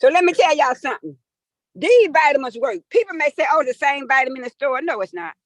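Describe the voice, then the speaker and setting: Lady almost sixty, high voice, acts out every line of the convo, dry close sound. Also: fast talker, dry recording. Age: 50s. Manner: lively, acts out quoted dialogue, emphatic. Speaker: caller sharing a testimonial. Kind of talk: animated testimonial storytelling.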